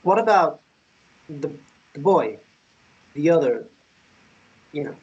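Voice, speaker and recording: Husky, stammering, bashful young man played by an actor. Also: fairly high pitch, expressive, slow pace, a little mellow, dull audio, full character voice. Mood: shy, embarrassed. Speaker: actor at a table read. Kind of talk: fantasy romance table read.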